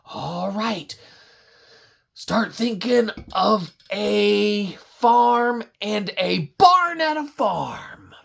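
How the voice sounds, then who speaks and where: A woman hosting an interactive children's story show, animated and exclamatory, reacting big and asking for ideas. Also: mid-range voice, very slow, vocal fry, husky. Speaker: puppet show host and storyteller.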